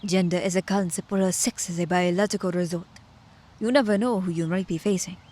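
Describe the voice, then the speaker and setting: A woman's voice: on the low side, steady tone, fairly quick, darkish tone, lightly acted. A woman playing a clever, teasing immortal witch in an audio roleplay, with a playful, mock-courteous manner.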